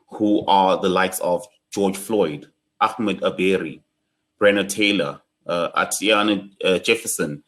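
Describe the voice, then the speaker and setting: A young South African man with a South African accent, leading an online workshop in a measured, solemn way. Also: low voice, fairly bright tone. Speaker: workshop facilitator.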